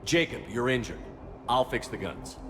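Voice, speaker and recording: A man's voice: mid-range voice, big pitch swings, normal speed, background noise, lightly acted. An actor playing a blunt, direct male starship commander who speaks tersely. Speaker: military commander. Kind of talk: video game voice acting.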